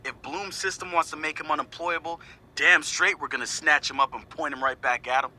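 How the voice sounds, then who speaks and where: An actor playing a confident young hacker activist, recording a casual, slangy, wry audio log for a video game. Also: male voice, a little high, steady tone, a little fast, sharp tone, a little hiss or hum. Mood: determined. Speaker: hacker group leader narrating audio logs.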